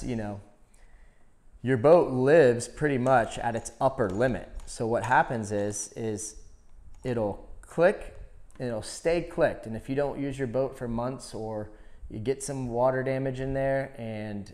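A man's voice: medium pitch, a little mellow. A man demonstrating a product in a conversational, step-by-step instructional style. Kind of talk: hands-on instructional explanation.

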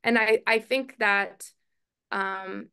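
Gravelly, bright-voiced woman coach at press. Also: mid-range voice, steady tone, slow pace. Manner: thoughtful, many restarts and fillers, hands moving as she talks. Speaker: college softball head coach. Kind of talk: press conference answers. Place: indoor press room in front of a logo backdrop. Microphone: video call webcam.

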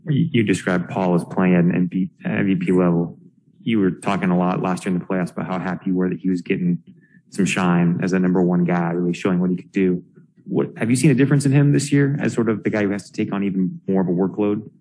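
A man with a low, mellow voice asks questions at a press conference. His questions are long and meandering, and he often restarts them midway. He sounds like a working sports journalist. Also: fast, slightly rough, poor audio. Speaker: sports reporter.

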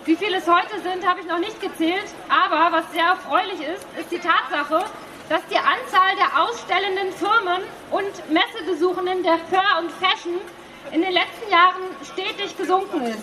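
Female rally speaker, extremely high pitch, public address delivery, noisy outdoor setting. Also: moderate pace. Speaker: demonstration speaker. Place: outdoors at a city square demonstration.

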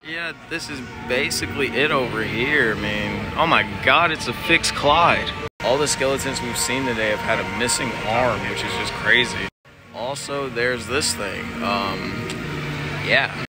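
A young man with a raspy, worn-out voice, chatting casually as he vlogs through a theme park at night on a phone held at arm's length. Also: medium pitch, unhurried, loud music behind the voice. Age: young adult. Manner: chatty, rambling, laughs often. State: exhausted. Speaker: vlogger. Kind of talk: casual vlog walkthrough. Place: outdoor theme park and shopping area at night, rainy.

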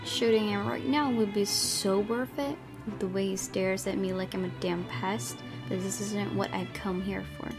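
Gamer girl reading out a visual novel, mid pitch, casual, music clearly playing behind. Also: steady tone, normal speed, few pauses. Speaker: gaming video host. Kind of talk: let's play, reading visual novel narration aloud with casual asides. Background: game music.

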